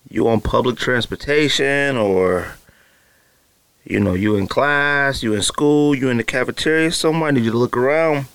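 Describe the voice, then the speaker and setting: Animated man hyping up his listeners. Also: mid-range voice, no room sound. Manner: hyped, teasing direct address with lots of fillers. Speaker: podcast host. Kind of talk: solo casual podcast talk. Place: home studio.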